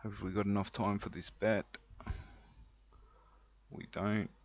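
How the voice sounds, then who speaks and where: Low-voiced man in casual commentary. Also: flat delivery, slow pace. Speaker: online roulette player explaining a betting strategy. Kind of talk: casual screen-recorded commentary.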